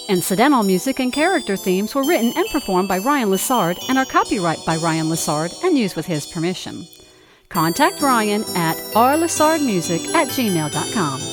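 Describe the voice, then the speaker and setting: A woman announcer reading the show credits in a formal, even manner. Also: mid-range voice. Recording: music bed under the voice.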